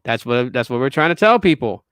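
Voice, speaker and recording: Emphatic man in his thirties, dry and clear. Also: medium pitch, sing-song, brisk, fairly bright tone, no echo. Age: 30s. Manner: emphatic, repetitive restarts, lecturing. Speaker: tech podcast host. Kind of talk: casual rant to viewers.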